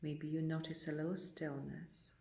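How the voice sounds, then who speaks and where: A woman leading a guided meditation as a teacher, speaking in a gentle, unhurried way with long pauses. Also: deep voice, level tone, gravelly. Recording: roomy echo, distant microphone.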